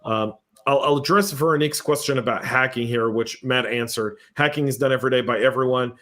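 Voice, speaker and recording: Guy hosting a podcast, chatty and animated, acts out little exchanges, mid pitched. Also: brisk. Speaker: podcast host. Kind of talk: casual panel chat, reading viewer comments.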